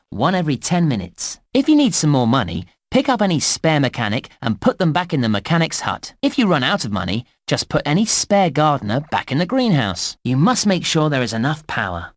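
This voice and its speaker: Animated, cheerful British game guide. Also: male voice, fairly high pitch, dry recording, clean studio sound. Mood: upbeat, explanatory. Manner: bouncy, clearly enunciated, encouraging. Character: cheerful, eager male theme park guide in a children's game. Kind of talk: scripted children's game narration.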